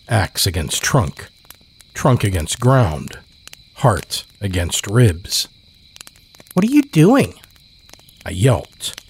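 A man narrating a scripted horror story dramatically, with deliberate, suspenseful phrasing. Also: lowish voice, slightly rough. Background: crickets and insect ambience.

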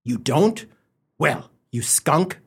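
Man playing a slow, mock-grandiose storyteller. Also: on the high side, very animated, creaky, a bit bright, husky, exaggerated voice. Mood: grandiose, chiding. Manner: theatrical, mock-grandiose, addressing the listener. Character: florid, mock-solemn storyteller, easily exasperated. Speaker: narrator. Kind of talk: comic dramatic storytelling for radio.

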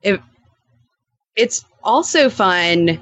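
A woman's voice: mid-range voice, expressive, extremely slow, fairly bright tone, dry recording, dull audio. A woman talking as a podcast guest, casual and wry, often acting out bits of imagined dialogue. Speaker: podcast guest, co-host of own show. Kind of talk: casual interview chat.

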